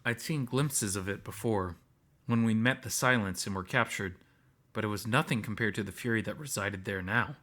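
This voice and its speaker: A man's voice: fairly low pitch, moderate pace, pushed and bright. A man reading first-person story narration aloud from a visual novel.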